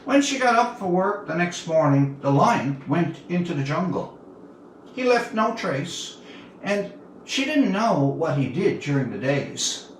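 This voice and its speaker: A man in his seventies narrates a story with a fairly high voice that swings widely in pitch. He takes his time, setting short phrases apart with deliberate dramatic pauses. The recording is roomy and a little distant from the microphone. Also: sing-song. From Newfoundland, Canada. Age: 70s. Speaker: author reading own story. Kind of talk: expressive storybook narration, reading aloud. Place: indoor room, livestream reading. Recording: roomy, distant mic with faint noise.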